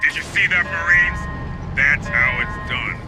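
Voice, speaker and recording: A man's voice: a little high, measured pace, music in the background, close to an everyday voice. A man playing a cocky, boisterous marine captain in a sci-fi game, delivering showy battle lines. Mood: exuberant. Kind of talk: shouted video game acting.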